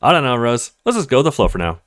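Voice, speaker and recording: A man's voice: mid-range voice, sing-song, rapid-fire, fairly bright tone, no room sound, studio quality. A man voicing an easygoing, protective young man in a visual novel, casual and reassuring.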